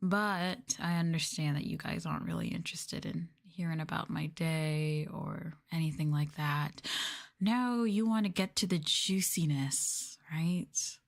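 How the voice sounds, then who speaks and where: A woman streamer speaks at a very unhurried pace in a slightly low register. She plays sadistic for an ASMR roleplay, condescending and mocking toward her listeners. Key words are stretched out with playful, teasing emphasis. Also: gravelly. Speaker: VTuber streamer, host.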